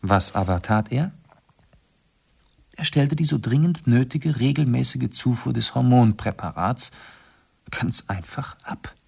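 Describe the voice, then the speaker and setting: An actor playing an urbane, self-assured aristocratic amateur sleuth in a German radio play, explaining precisely and theatrically. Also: male voice, medium pitch, a little slow, creaky, pauses often, dark tone, breathy edge. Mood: explaining gravely.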